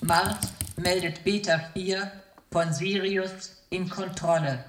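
An actress playing an impassive female computer announcer in a science-fiction radio play, measured and impersonal. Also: lowish voice, steady tone. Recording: roomy echo on the voice.

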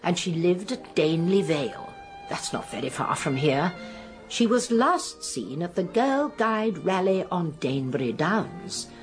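Older English spinster sleuth, radio drama, lilting low-set voice, genteel, music underneath. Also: female voice, big pitch swings, measured pace. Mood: musing. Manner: musing, precise, genteel. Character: shrewd elderly English spinster sleuth, gently certain.

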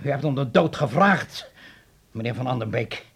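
Stern, husky, agitated father. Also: mid-range voice, expressive, normal speed, fairly bright tone, exaggerated voice. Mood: grave indignation. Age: middle-aged. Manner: scolding, indignant, sprinkles in German. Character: stern, agitated German father and anxious host. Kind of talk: radio play acting.

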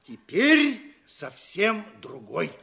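An actor playing a nosy, excitable provincial postmaster in a classic comedy audio play, savoring each phrase theatrically. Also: male voice, high-pitched, drawn-out words, creaky, slight background noise, exaggerated voice. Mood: mocking relish.

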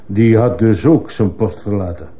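A man's voice: on the low side, moderate pace, mellow, natural voice. A man playing a stern, probing judge who fires insistent questions in a radio courtroom drama. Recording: muffled, hissy old radio play recording.

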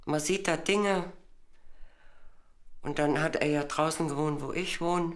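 A woman's voice: low-pitched, fairly bright tone. A woman telling a personal experience spontaneously as an interviewee, in fragmented colloquial phrases.

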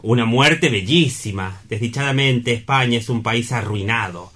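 A man's voice: medium pitch, moderate pace, a bit bright, lightly acted. An actor playing a courteous, wry man in a comic stage play, speaking formally and didactically as he reasons with someone.

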